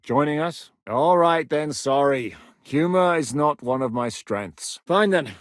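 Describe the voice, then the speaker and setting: A gruff medieval war captain for a game, dry and blunt, really animated pitch, close dry sound. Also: male voice, measured pace, no echo. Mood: calm, dry. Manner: blunt, commanding, sardonic. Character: battle-hardened medieval rebel commander, gruff, dry-humoured, resolute. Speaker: military commander in a video game. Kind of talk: dramatic video game character acting.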